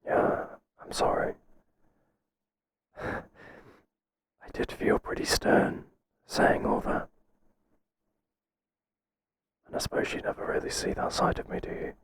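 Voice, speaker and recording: A man playing a flustered but principled boss in an ASMR roleplay, speaking hesitantly in a breathy whisper. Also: a little hiss or hum, close to an everyday voice. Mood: apologetic, soft. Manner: hesitant, halting, gently firm. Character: flustered, professional male boss, kind but firm. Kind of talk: whispered ASMR roleplay, intimate second-person acting.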